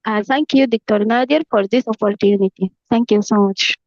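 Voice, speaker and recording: A woman's voice: a little high, fairly quick, no room sound, highs cut off. Young woman from Sudan, dry call audio. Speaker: meeting participant. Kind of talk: self-introduction on a video call. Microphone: video call.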